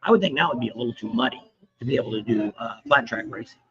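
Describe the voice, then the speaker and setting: A slightly hoarse man with a cold hosts a casual live chat, rambling along with frequent "uh" fillers. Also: mid-range voice, animated, very fast, muffled recording, a crowd in the background. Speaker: livestream host. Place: restaurant at a motorcycle rally.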